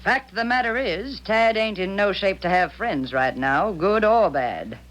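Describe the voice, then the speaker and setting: An actress playing a crusty, suspicious old aunt in a radio drama, talking in a gruff, blunt, folksy way. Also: female voice, mid-range voice, animated, measured pace, close to an everyday voice. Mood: suspicious, curt. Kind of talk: 1970s radio drama acting.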